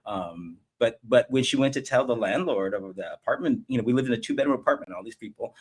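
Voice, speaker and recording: A man's voice: mid-range voice, fast talker, breathy edge. A man with an American accent chatting casually as a podcast guest, telling personal stories in a rambling way peppered with "you know". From United States. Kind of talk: casual conversational interview, personal storytelling.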